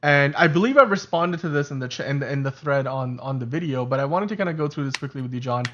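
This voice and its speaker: A man's voice: medium pitch, fast, fairly bright tone, slight background noise. Chatty man on a lo-fi stream. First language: Urdu. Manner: chatty, self-correcting, laughs often. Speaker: podcast co-host. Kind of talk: casual livestream chat.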